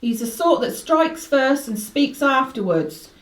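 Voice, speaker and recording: Woman acting a high, sing-song chatty country landlady. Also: expressive, unhurried. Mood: obliging, chatty. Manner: folksy, emphatic, respectful. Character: chatty, deferential country inn landlady, full of warnings. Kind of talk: radio play acting. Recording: roomy, echoing radio play recording.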